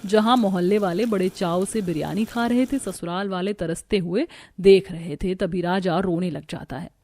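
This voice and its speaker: A woman's voice: fairly low pitch, normal speed, mellow. A woman narrator reading a scripted story.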